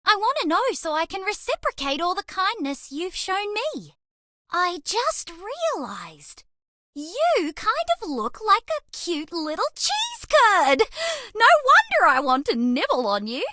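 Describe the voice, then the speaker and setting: Very high, cute alien mouse girl. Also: huge pitch swings, a little slow, bright, forceful tone, no room sound, studio quality, heavily acted. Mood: shy, affectionate. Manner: bubbly, earnest, quirky, affectionate. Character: cute, sweet, eager young female alien mouse space traveller. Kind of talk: dating game character voice lines.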